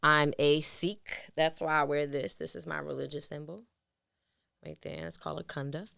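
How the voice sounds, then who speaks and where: A woman's voice: deep voice, steady tone, moderate pace, gravelly, fairly bright tone, no room sound, clean studio sound. A woman in her thirties from New Jersey with a Jersey accent. She is a therapist who is a guest on a casual podcast, talking loosely with many fillers. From New York City and central New Jersey.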